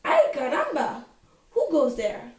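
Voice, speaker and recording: A woman voicing an excitable little boy kitten who plays a boastful Chihuahua hero in a storybook, bouncy and exclamatory. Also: high-pitched, measured pace, voice pushed up, heavily acted. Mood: bold. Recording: roomy, distant microphone.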